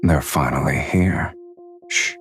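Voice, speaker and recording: A man playing a suave, teasing crime boss in a romance game, speaking in an unhurried, amused way. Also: very deep voice, soft background music. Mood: amused, cool.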